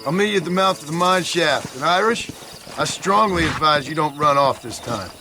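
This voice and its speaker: An actor playing a stern American cowboy gunslinger in a game, with a Western accent and a dry, threatening, sarcastic manner. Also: male voice, fairly high pitch, animated, normal speed, music in the background, natural voice. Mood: warning. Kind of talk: game voice acting.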